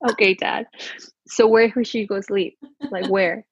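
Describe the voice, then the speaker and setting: Woman, thirties, casual chat, animated storyteller, dry room, slightly muffled audio. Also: medium pitch, measured pace, husky, no echo. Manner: chatty, animated storytelling with quoted exchanges.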